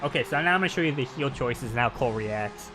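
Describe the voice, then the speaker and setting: A man's voice: mid-range voice, lively intonation, quick pace. A man giving casual, informal and chatty commentary on a video game. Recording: game music behind the voice.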